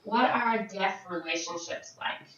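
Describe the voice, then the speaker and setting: A woman voicing a signed question-and-answer vlog as an interpreter, speaking in short, halting phrases with frequent restarts. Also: mid-range voice, a bit bright, a little hiss or hum. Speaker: sign language interpreter voicing a Deaf vlogger. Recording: very echoey, distant, dull sound.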